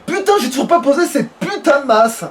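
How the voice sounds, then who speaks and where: A man's voice: very high voice, lively intonation, fairly quick. A man chatting casually as a let's player, in a crude, slangy, grumbling way. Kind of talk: casual gaming chat.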